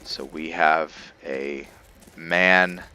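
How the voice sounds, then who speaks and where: A man giving casual, somewhat halting commentary over gameplay as the host of a gaming video. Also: rumbling low, steady tone, bright, forceful tone, clean tone.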